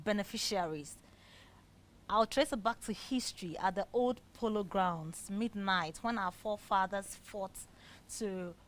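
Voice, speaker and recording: A woman with a Ghanaian English accent, a guest on a studio talk show, answering thoughtfully and defining her terms with pauses. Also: medium pitch. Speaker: guest, communications and development specialist.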